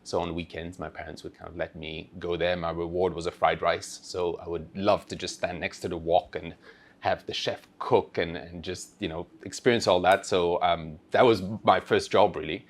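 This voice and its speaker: Low, gravelly man in an interview. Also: fairly even intonation, moderate pace. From Switzerland-born, raised in Asia. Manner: hesitant, many fillers and restarts. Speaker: guest, company founder. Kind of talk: conversational interview.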